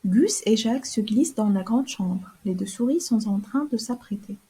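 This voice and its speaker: Warm, breathy woman telling a children's tale. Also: medium pitch, moderate pace. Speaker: storyteller. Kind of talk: scripted children's story narration.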